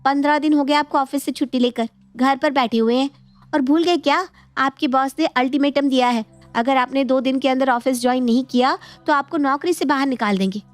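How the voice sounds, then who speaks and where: An exasperated wife, acted by a woman in a cartoon moral tale. She nags urgently and anxiously in a high, raised voice, with faint music behind. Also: fairly quick, dry recording, natural voice. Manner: nagging, urgent questioning. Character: exasperated, anxious wife scolding her husband. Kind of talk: animated Hindi moral story dialogue.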